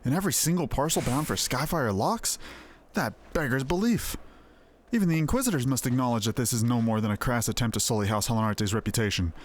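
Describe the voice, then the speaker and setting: A man's voice: mid-range voice, animated, fast talker, close to an everyday voice. A man voicing an earnest noble lord in a fantasy game, speaking formally and resolutely. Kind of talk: fantasy game dialogue read aloud. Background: game music.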